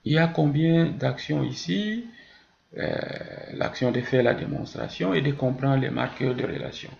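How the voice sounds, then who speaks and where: A male teacher gives a grammar lesson in a mid-pitched, gravelly voice. He explains didactically, sets out examples and answers his own questions. The sound is roomy and echoing. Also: normal speed, a little mellow, muffled recording. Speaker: French teacher.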